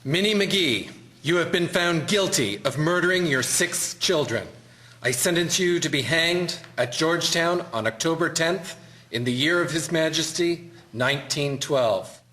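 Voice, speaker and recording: Radio drama role: severe, formal 1910s judge, male actor, highish, bright voice. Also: a little slow. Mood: stern, solemn. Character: stern, formal male judge passing sentence, 1912. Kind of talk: scripted radio-drama acting.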